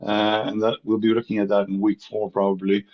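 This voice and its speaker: A man runs an online class, pausing often with fillers as he invites each participant to speak. His voice sits low. It comes through muffled, like audio from a video call. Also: monotone. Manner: hesitant, many fillers. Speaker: course instructor. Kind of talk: leading an online class discussion.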